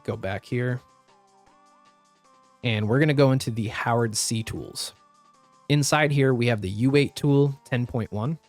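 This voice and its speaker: A man with a slightly raspy voice, recovering from the flu, giving a step-by-step software tutorial as a voice-over. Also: mid-range voice, a little slow, long pauses, dry recording, soft background music. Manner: step-by-step instructive, plain and practical. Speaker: tutorial host. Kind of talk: screen-recorded software tutorial.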